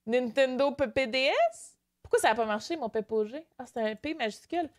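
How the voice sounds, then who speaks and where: A woman's voice: high-pitched, a little fast. A young woman from Canada with a Québécois accent, chatting casually on a live stream while she reads her chat aloud and mixes in English. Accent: Québécois French. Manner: animated, switches to excited squeals, mixes in English.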